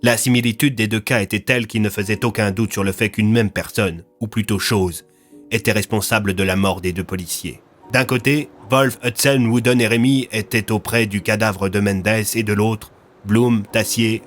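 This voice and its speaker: Man narrating a story, lowish voice. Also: fairly even intonation, normal speed, soft background music. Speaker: narrator of an audio fiction series. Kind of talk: scripted dramatic narration.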